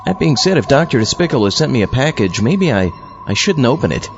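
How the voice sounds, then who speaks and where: A man's voice: medium pitch, big pitch swings, quick pace, lo-fi sound, faint music behind. A man playing an easygoing zombie astronaut who hosts an old-time radio show, chatty and muttering to himself in a comedic monologue. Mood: musing, curious. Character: easygoing male zombie astronaut hosting from his underground lair. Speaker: podcast host.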